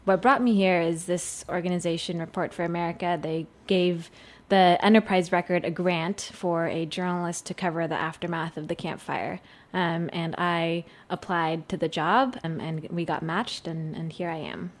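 A young woman from Switzerland, a newspaper reporter, answering interview questions in a friendly, relaxed way. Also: lowish voice, normal speed. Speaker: newspaper reporter, studio guest.

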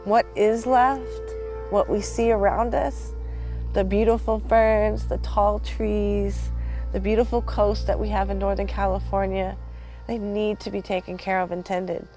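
A woman speaking earnestly and haltingly in a documentary interview, reflecting on loss and the land. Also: medium pitch, flat delivery, dark tone, soft background music. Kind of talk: reflective personal testimony.

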